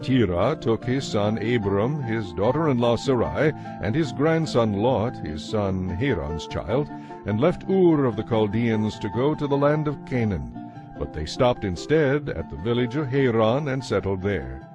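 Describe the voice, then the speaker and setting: A man giving a measured, solemn scripted narration of a dramatized Bible. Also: mid-range voice, sing-song. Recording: narration over a music bed.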